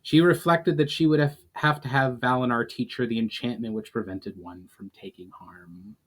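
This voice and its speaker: A man narrating a fantasy story as its author, in a measured, formal storytelling manner. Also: mid-range voice, steady tone, low-quality recording. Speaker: author reading own book. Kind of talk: dramatic audiobook-style narration of fantasy fiction.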